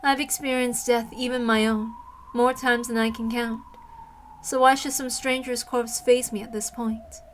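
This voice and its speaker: A woman voicing a deadpan, world-weary young girl who is never fazed, in an amateur story reading. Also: on the high side, measured pace, a little hiss or hum, close to an everyday voice. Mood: calm, unfazed. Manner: deadpan, unfazed.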